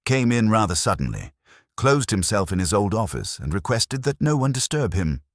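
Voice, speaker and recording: A man's voice: fairly low pitch, very animated, moderate pace, dry recording, studio quality, voice pushed up. A man voicing a nervous, polite young publishing clerk in an audiobook, hesitant and deferential. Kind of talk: audiobook character dialogue.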